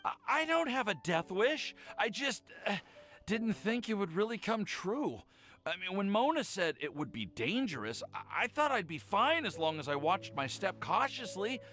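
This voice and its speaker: A man's voice: high voice, sing-song, moderate pace, sharp tone, faint music behind, full character voice. An actor playing a nervous, apologetic young man in love, stammering and earnest in a video game cutscene. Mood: defensive. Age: young adult.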